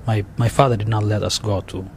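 A low-pitched man with a Somali accent speaks haltingly, stopping to restart and filling gaps with "you know." Faint noise sits under the recording. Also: flat delivery, darkish tone. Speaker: interviewee. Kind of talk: oral history interview.

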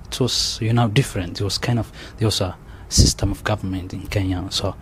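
A young man with a Somali accent, telling his story in an interview, halting and full of restarts and fillers. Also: on the low side, fairly even intonation. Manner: halting, many restarts and "you know" fillers. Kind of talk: oral history interview. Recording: faint background noise.

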